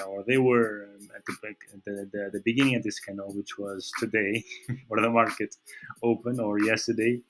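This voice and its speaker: Casting note: Portuguese-accented male market analyst, lowish voice, halting delivery full of "uh"s. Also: rough recording. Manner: hesitant, many fillers and restarts. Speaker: trading channel host. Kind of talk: screen-share market analysis.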